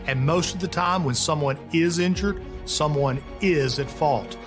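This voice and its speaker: A man reading a scripted commercial in a measured, deliberate delivery. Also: on the high side. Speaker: ad announcer. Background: music.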